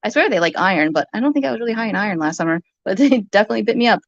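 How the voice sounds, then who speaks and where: A woman's voice: medium pitch, animated, very fast, dry recording. A woman in a heated, informal argument, talking in an accusatory, rambling way and sometimes swearing. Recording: muffled lo-fi audio.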